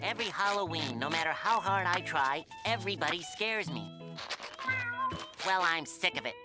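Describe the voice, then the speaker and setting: A naive little cartoon sea creature, nasal and squeaky. The pitch jumps about earnestly while loud music plays behind. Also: male voice, lively intonation, moderate pace. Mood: whiny frustration. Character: naive, timid young sea sponge, earnestly frustrated.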